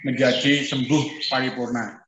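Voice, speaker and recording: A man gives a grateful, formal testimonial over a video call, hesitating now and then. His delivery stays flat and even. The call sounds roomy and dull, with a faint hiss of noise behind. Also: medium pitch, a little slow. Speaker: program participant giving a testimonial.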